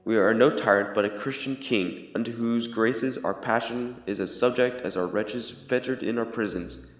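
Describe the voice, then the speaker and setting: A man's voice: mid-range voice, moderate pace, natural voice. A proud, resolute young king played by a young man declaims formal Shakespearean verse in an audio drama. His voice rings in a long, echoey reverb with music beneath. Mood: stern, commanding. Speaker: amateur student actor. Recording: muffled, echoey hall reverb under music.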